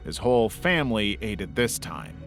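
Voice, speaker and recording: A man narrating a scripted documentary-style piece in a measured, serious way. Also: fairly low pitch, very animated, a bit bright. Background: background music.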